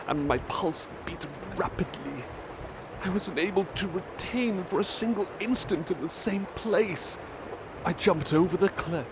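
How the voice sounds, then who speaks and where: A man reading a classic novel aloud softly as a butler in an ASMR roleplay, with measured dramatic delivery. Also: on the high side. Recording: steady urban traffic noise behind.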